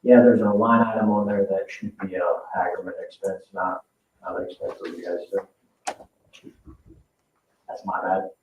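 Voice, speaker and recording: An American man giving an informal budget presentation at a public meeting in a halting, hesitant way, as a construction project manager. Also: low voice, fairly even intonation. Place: indoor town council meeting room. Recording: roomy, distant, dull meeting-room audio with faint noise.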